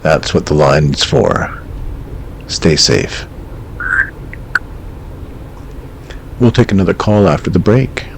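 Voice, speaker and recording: A man acting as a calm, cryptic late-night radio host in an audio drama, measured, terse and quietly ominous. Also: low-pitched, gravelly, slight background noise, exaggerated voice.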